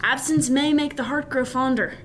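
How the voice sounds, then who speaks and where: A woman's voice: sits high, normal speed, slight background noise, lightly acted. A woman playing a level-headed, dryly witty young male officer in a period seafaring radio drama, with formal nautical phrasing. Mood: dryly teasing.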